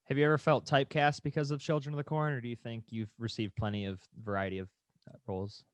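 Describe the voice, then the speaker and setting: A man's voice: mid-range voice, little pitch movement, fast, dry recording. A man hosting a talk podcast, asking short, easygoing interview questions. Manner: easygoing, brief questions and agreeing backchannels. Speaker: podcast host.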